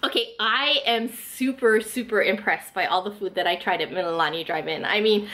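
A woman's voice: mid-range voice, a bit bright. A woman in her thirties chatting enthusiastically while tasting and reviewing food indoors, with lots of casual fillers. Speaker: food vlogger. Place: small indoor room, eating at a table.

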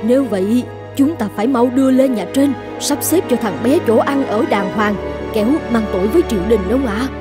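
An actress as a middle-aged official's wife in a folk-tale cartoon. She is bossy and fussy, with a somewhat high, lightly bright voice and an insistent way of talking. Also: fairly quick, music in the background, close to an everyday voice.

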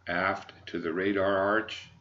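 An American man, middle-aged or older, giving an unscripted sales walkthrough in a halting, measured, formal manner. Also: sits low, little pitch movement, sharp tone, muffled recording. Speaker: yacht broker presenting a boat.

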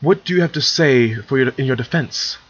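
A regal pony ruler, voiced by a man reading a fantasy story, speaks formally and stays composed. The tone is husky, and the recording is slightly dull. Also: mid-range voice, normal speed, lightly acted. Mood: calm, formal. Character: composed, regal pony princess ruler, calm elder sister. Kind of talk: dramatic fan fiction reading.

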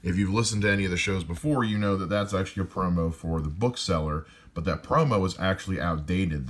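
A man with a loud voice calmly walking through how he edits a podcast episode, step by step. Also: sits low. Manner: calm step-by-step explaining. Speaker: podcast editor. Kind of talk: explaining a screen-recorded editing walkthrough.

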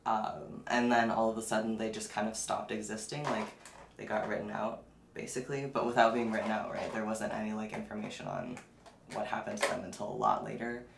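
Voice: mid-range voice, brisk. Echoey young adult vlogger, casual and chatty. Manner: informal, chatty. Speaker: vlogger presenting a shopping haul. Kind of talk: casual unboxing chat to camera. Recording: roomy echo, clear background noise, clicks.

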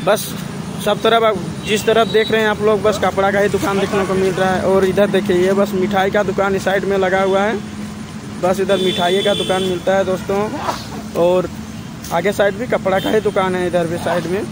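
A man's voice: high voice, flat delivery, moderate pace. A man narrates a casual vlog outdoors, explaining things to viewers and often repeating himself. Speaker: vlogger. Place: outdoors at roadside market. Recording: noisy outdoor street with traffic.

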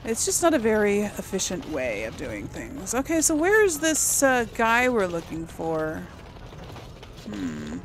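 A woman's voice: mid-range voice, wildly swooping pitch, unhurried. A woman hosting a casual game playthrough, chatty and hesitant as she thinks aloud. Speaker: gaming video host. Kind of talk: casual let's play commentary.

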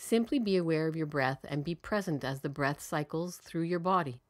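Low-pitched woman guiding a calm meditation. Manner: calm, soothing, measured delivery with long pauses. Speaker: meditation guide. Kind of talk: guided meditation narration.

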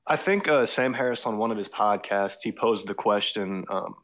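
Male caller, lower voice, hesitant and rambling. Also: normal speed, a bit bright. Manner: hesitant, lots of fillers and restarts, searching for words. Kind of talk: casual phone-in conversation.

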